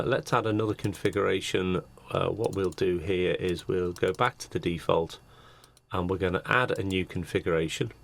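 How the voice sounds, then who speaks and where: Low-voiced man demonstrating software step by step. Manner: methodical, explanatory, step-by-step. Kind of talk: screen-share tutorial narration, unscripted walkthrough. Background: computer keyboard typing.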